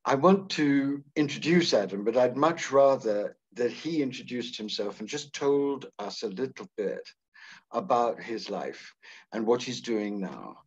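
A man's voice: medium pitch, expressive, measured pace, breathy edge, highs cut off. A man hosting a conversation, introducing his guest in an effusive, emphatic way. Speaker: host. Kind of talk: interview introduction.

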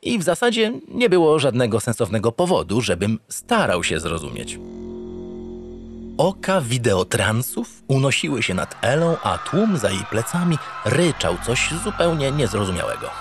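An actor playing a naive, self-satisfied, doting spaceship captain who narrates a comic radio drama in an earnest, theatrical, pompous way. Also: male voice, mid-range voice, sing-song, moderate pace, over music. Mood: proud, doting.

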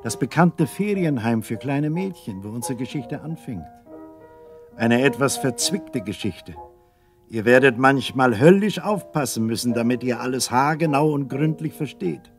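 A man narrating a children's story from a script, expressive and unhurried, with a husky voice. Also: mid-range voice, soft background music.

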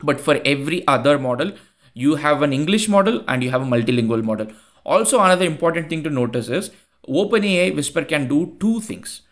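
A man in his thirties with a thick Indian accent, a Tamil speaker, explaining software in a screen-share tutorial over a headset mic. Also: on the high side, animated, brisk. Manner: earnest, repeats phrases for emphasis. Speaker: tech YouTuber.

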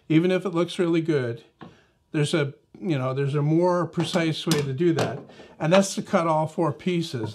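Man, middle-aged, woodworking host, casual shop talk, explains while working, small workshop, lapel mic. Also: fairly high pitch.

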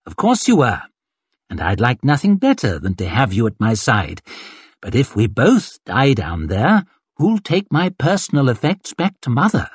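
A man's voice: mid-range voice, huge pitch swings, normal speed, breathy edge, no room sound. A man voicing an arrogant, mocking cavalry colonel with a sneering, theatrical delivery. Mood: haughty.

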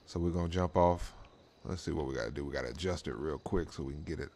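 A man's voice: a bass voice, quick pace. A man giving laid-back, slangy running commentary on a game, talking mostly to himself. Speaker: streamer playing a drag racing game. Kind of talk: casual running gameplay commentary. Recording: loud car engine noise. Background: game car and engine sounds.

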